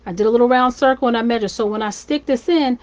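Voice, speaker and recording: A woman's voice: a little high, quick pace, lo-fi sound. A woman with a scratchy voice from laryngitis, chatting her way step by step through a live craft tutorial. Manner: chatty, friendly, step-by-step instructing. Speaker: wreath maker and shop owner. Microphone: phone or camera livestream.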